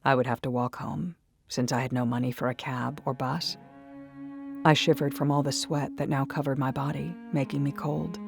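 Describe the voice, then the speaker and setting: Female narrator for fiction podcast, creaky voice, measured intimate storytelling with music underneath. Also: extremely low pitch. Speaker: audiobook-style narrator. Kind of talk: dramatic first-person scripted narration. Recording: music behind the voice.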